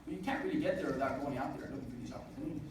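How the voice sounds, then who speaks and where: A man's voice: mid-range voice, very fast, a little mellow. A man in his twenties giving an earnest motivational speech at a business event, with frequent "uh" fillers. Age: 20s. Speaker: speaker at a student business event. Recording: echoey hall recording from far off, noisy.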